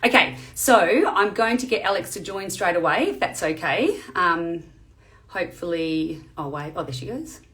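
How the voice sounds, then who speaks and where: A woman in her thirties hosting a casual live video chat, chatty and quick, with lots of fillers. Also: on the low side, expressive, fairly bright tone. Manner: chatty, talks fast with many fillers. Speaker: host.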